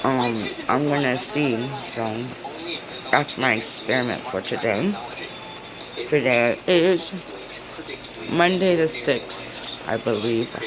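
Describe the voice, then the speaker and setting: Slow, rambling vlogger in a noisy room. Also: medium pitch. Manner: rambling, laughs often, many fillers. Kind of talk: casual video diary. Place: indoor room with a window view.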